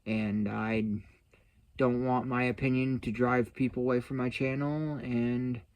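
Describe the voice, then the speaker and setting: A man with an average-pitched voice talks casually to the viewers of his online channel. He rambles in a folksy, unscripted way, often playing himself down as he goes. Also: little pitch movement, a little slow, a little mellow. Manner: rambling, self-deprecating, folksy. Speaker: YouTube channel host. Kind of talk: casual vlog chat to viewers.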